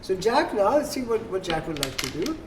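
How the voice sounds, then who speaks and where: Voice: mid-range voice, very animated, fairly quick. A person patiently explaining board game rules as the host to players at a table and checking that they follow. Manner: patient, instructive, checks understanding. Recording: noisy room with background ambience.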